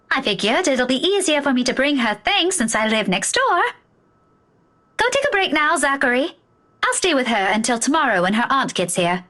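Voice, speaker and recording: A caring young schoolteacher from a video game. Her voice sits fairly high and swings up and down in lively fashion. She is earnest, stubborn and firm with her friends. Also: full character voice.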